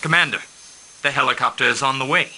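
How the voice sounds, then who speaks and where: An actor playing a calm, formal male mercenary liaison who briefs a commander on missions in a video game. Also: medium pitch, quick pace, background noise, lightly acted. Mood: composed. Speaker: mission handler.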